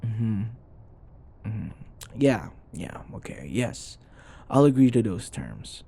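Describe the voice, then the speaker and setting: Man acting a cocky, flirty office rival, swinging pitch. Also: sing-song, mellow, heavily acted. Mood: grudging, conciliatory.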